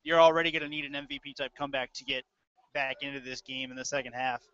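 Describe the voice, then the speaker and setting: A man's voice: medium pitch, fairly even intonation, fast, a bit bright, smooth. A man calling live American football play-by-play in a conversational way, as a sports announcer. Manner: conversational between plays. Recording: dull lo-fi audio stream.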